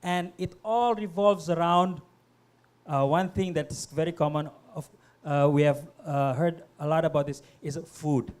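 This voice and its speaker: A middle-aged man from Indonesia, a guest on a panel talk, speaking haltingly with many fillers and restarts. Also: on the high side, fairly even intonation, a little mellow. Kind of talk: panel conversation.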